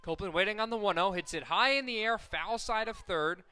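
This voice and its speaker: A young man calling softball play-by-play for college radio, correcting himself often and surging loud on big plays. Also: high voice, brisk, clean tone, no room sound. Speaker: play-by-play announcer.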